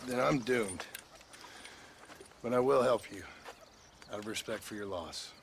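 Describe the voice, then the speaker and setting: An actor playing a world-weary American gunslinger in a western video game, blunt and sardonic, with an American accent. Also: male voice, fairly low pitch, measured pace, creaky, slight background noise. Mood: weary, resigned.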